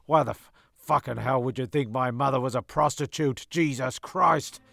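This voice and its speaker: A man's voice: medium pitch, normal speed, vocal fry, pushed and bright. A man acting a cranky, foul-mouthed, self-pitying narrator in a comedic amateur radio play, given to indignant, stammering rants. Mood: indignant, wounded.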